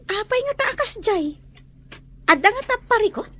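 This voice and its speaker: A woman's voice: very high-pitched, big pitch swings, moderate pace, sharp tone, heavily acted. A woman playing a curious, teasing schoolgirl in a radio drama. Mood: curious concern. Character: teasing, curious schoolgirl among close friends.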